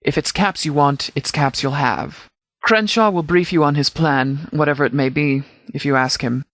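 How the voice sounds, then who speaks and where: An actor playing a commanding post-apocalyptic sheriff in a video game, speaking in a clipped, formal, authoritative way. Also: male voice, fairly high pitch, moderate pace, fairly bright tone, no echo. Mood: urgent, commanding.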